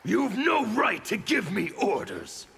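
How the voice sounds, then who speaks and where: A cavernous, reverberant voice of a wrathful revolutionary villain. It is high and extremely gravelly, declaimed with fanatical defiance in video game style. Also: male voice, huge pitch swings, takes it slowly, fairly bright tone, exaggerated voice. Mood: defiant. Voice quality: gravelly, strained. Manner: theatrical, declamatory. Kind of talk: fighting game character dialogue. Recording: long reverb, faint background noise.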